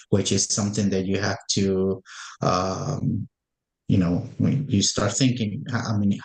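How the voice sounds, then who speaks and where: A man's voice: fairly low pitch, low-quality recording. A man from Guyana, joining a webinar on a video call to ask a question and stumbling over repeated words. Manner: stammering, repeats words often. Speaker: webinar attendee. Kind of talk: live question from the audience.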